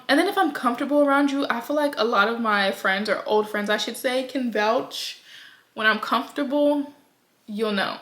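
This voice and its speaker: A woman's voice: on the high side, sharp tone. A woman of twenty, chatting casually to her viewers in a get-to-know-me vlog, rambling with plenty of ums and likes. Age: 20s. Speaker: vlogger. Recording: echoey room sound.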